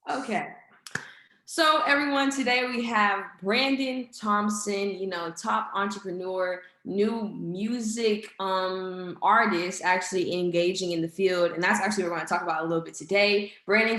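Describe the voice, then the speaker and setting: Woman podcast host, mid pitch, echoey room sound, chatty and upbeat. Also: moderate pace, a bit bright. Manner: upbeat, filler-heavy questioning. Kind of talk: casual interview hosting.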